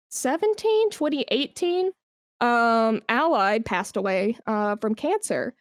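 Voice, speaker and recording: Higher-pitched woman chatting candidly. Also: dry recording, studio quality. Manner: informal, candid storytelling peppered with "like". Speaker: podcast guest, esports brand manager. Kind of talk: casual conversational interview.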